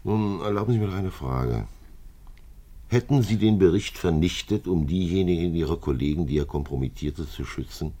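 An actor playing a calm, probing police chief in a 1950s German radio drama, gravelly and terse. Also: male voice, low-pitched. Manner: terse, measured questioning. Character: calm, probing male police detective chief, middle-aged.

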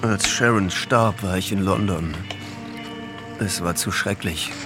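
Grieving film director, low voice, sombre, measured, radio play, clear music underneath. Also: male voice. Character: grieving male film director, widowed, guilt-ridden. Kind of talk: radio drama monologue.

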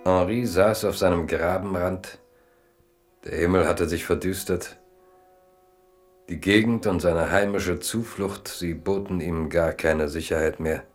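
A male narrator for a radio drama, pitched deep. He reads literary prose as a storyteller would. The delivery is measured and dramatic. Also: steady tone, soft background music.

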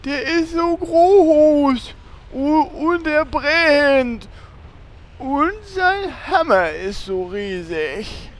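A woman playing a cowardly, tearful warrior in a parody audio play, timid and halting like an overgrown child. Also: sits high, lively intonation, full character voice. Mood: tearful, scared.

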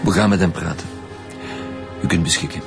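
A man's voice: low-pitched, fairly quick, creaky, loud music behind the voice. A man playing a stern, probing Flemish police detective in a naturalistic radio drama, speaking formally. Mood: firm, formal.

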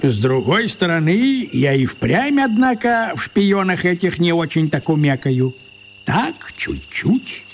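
An actor playing an eccentric, stubborn wizard in an audio drama, grumbling and arguing in folksy colloquial speech. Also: male voice, on the high side, big pitch swings, unhurried, a little hiss or hum. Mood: puzzled musing. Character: elderly eccentric man, a wizard, stubborn and self-justifying. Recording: echoey hall reverb.

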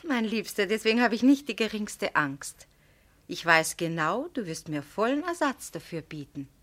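An actress playing a proud, spirited young fiancée in a radio drama, tart and emotional. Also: female voice, medium pitch, sing-song, moderate pace, a bit bright. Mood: tender. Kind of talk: German radio drama acting.

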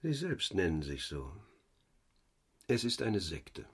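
An actor playing a guarded, reluctant company man in a radio drama, husky-voiced and uneasy in manner. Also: sits low, very animated, slow pace, exaggerated voice. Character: evasive, reluctant corporate representative under questioning. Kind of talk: German radio drama acting.

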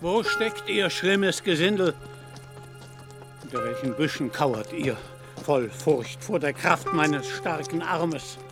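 An actor playing a deluded, gaunt Spanish would-be knight in a radio play, declaiming in pompous, archaic, ceremonious language. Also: male voice, fairly high pitch, expressive, slow, music in the background. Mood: commanding, fiery. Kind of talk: literary radio play acting.

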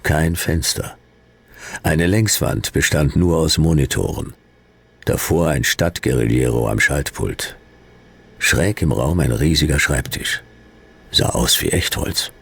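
Very deep, laconic private eye. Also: male voice, takes it slowly, creaky, slightly rough, full character voice. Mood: terse, sardonic. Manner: deadpan, dry, clipped phrases. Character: laconic, cynical hard-boiled male private detective. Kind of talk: noir radio drama narration and dialogue.

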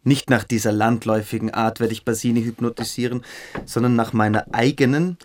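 A man's voice: mid-range voice, fairly even intonation, brisk, slight background noise, natural voice. A man in a radio play, playing a fanatical, brooding schoolboy philosopher who lectures with pompous intensity. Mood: fervent lecturing.

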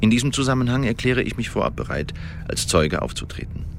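An actor playing a formal, self-justifying witness who gives a precise, emphatic statement about a killing in a radio drama. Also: male voice, mid-range voice, lively intonation, fairly quick, faint music behind, natural voice. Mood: grave, shaken. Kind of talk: scripted radio drama monologue, written statement read out.

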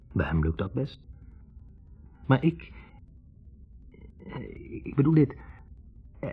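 Wavering young male medical student for radio drama: low, husky, hesitant, on a dull old recording. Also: mellow, full character voice. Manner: hesitant, searching for words. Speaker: actor in a radio play. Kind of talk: 1960s Dutch radio drama acting. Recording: dull, band-limited 1960s radio recording.

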